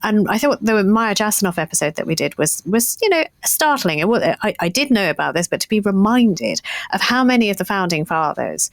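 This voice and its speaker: A woman co-hosting a history podcast, talking in a lively, animated way with frequent asides. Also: medium pitch, a little fast, vocal fry, dry recording. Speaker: podcast co-host. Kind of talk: casual history podcast chat.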